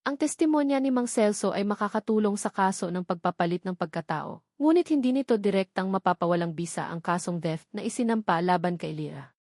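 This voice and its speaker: A Filipino woman narrates a scripted drama with measured, emotive phrasing. Her voice sits at a middle pitch for a woman. The sound is very dry, with hardly any room around it. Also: a little mellow, no room sound. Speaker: story narrator.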